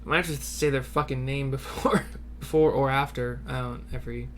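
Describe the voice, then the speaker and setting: A man's voice: mid-range voice, fairly even intonation, moderate pace, slight background noise. A man giving informal, muttered commentary as he plays and reads through a video game. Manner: informal, self-critical, muttering asides. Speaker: gaming streamer. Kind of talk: casual commentary while voicing game dialogue.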